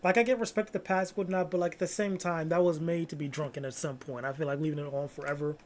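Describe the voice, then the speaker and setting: A man's voice: on the high side, steady tone, fast, slight background noise. A young man chatting casually as a livestreamer, slangy and often repeating his phrases. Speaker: live streamer reacting to videos.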